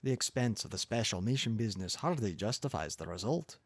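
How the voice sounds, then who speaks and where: A man's voice: on the low side, lively intonation, brisk, dry recording. A man voicing a kindly, wry old newspaper editor with a Scottish accent in an audiobook story. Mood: dry, discouraging.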